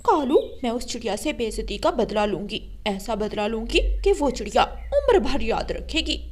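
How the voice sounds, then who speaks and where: Woman doing a spiteful lady crow in a cartoon, high voice, always scheming. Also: very animated, moderate pace. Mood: vengeful. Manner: scheming, complaining. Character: spiteful, vengeful female crow villain.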